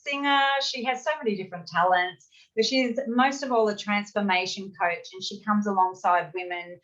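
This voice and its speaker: A woman's voice: medium pitch, a little fast. A woman hosting an interview show, chatty and encouraging with her guest. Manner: warm encouraging backchannels, chatty. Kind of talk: conversational interview. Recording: slightly dull lo-fi sound.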